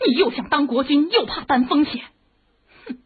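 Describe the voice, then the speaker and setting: An actress playing a bold, scheming palace consort in a radio drama, scornful, goading and impatient. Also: female voice, high voice, expressive, normal speed. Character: bold, scheming palace consort plotting revolt against the king. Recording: muffled, tinny phone-like radio drama recording.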